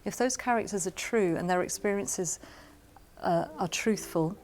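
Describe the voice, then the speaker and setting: A woman explaining her craft advice in an interview, with measured emphasis. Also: medium pitch, a little mellow. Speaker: radio drama producer giving writing advice. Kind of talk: interview-style advice to camera.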